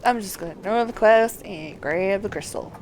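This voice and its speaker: A woman's voice: medium pitch, normal speed, a little mellow, slight background noise. A woman game streamer talking through a walkthrough, reading on-screen text aloud and muttering to herself in between.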